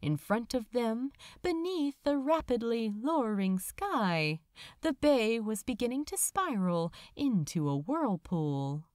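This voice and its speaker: Slow, expressive woman narrating a story. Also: a little high, no echo, clean studio sound. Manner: dramatic, measured, lingering on phrases. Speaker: audiobook narrator of fan fiction.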